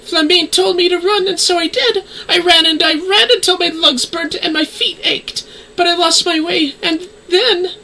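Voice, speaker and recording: A woman's voice: very high-pitched, steady tone, moderate pace, hardly any pauses, sharp tone, far from the mic, slight background noise, exaggerated voice, low-quality recording. A woman playing a tiny, sweet, emotional young woman in a fantasy game, girlish and earnest. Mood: distressed. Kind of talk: scripted fantasy game dialogue.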